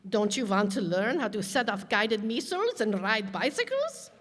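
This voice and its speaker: A woman's voice: mid-range voice, sing-song, normal speed. Woman as imperious scheming dictator, swooping and echoey. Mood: scheming, casual. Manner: commanding, theatrical. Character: scheming, imperious onion-state leader in a radio satire. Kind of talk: satirical radio play acting. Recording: echoey hall stage performance.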